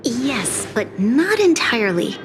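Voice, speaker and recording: A woman playing an earnest, resolute young female leader in a video game cutscene, speaking in a measured, formal way. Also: on the high side, sing-song. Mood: solemn, proud. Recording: loud game music behind the voice. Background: music.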